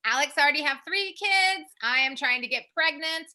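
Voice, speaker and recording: A woman's voice: high voice, normal speed, bright, forceful tone. A young American woman co-hosting a casual advice chat, speaking emphatically and repeating her points. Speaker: host, language program founder.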